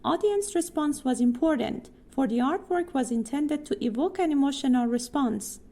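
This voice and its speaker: A woman with a high, softly mellow voice reads a prepared text aloud. It sounds like a scripted exam practice passage. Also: lively intonation, moderate pace.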